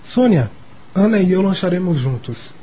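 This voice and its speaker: An actor playing a lonely, sickly rich schoolboy in a Brazilian radio drama, confiding in a childlike way, with a Brazilian accent and a husky voice. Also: male voice, high-pitched, expressive, normal speed, dark tone, slight background noise. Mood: explaining, polite.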